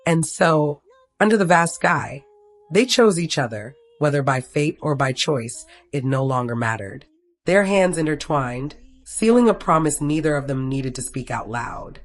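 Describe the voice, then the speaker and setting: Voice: mid-range voice, animated, a little slow. A narrator reading a scripted romantic story, telling it in the third person.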